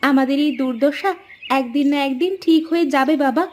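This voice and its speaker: A woman's voice: high voice, normal speed, lightly acted. An actress playing a caring eldest daughter in a cartoon, speaking in a soothing way to her father. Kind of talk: Bengali cartoon voice acting. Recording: music underneath.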